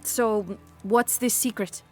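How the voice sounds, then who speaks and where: A woman's voice: a little high, steady tone, takes it slowly, faint music behind. An actress playing a playful, skeptical young village woman in a video game, with a casual, teasing manner. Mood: skeptical, curious. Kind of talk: video game cutscene acting.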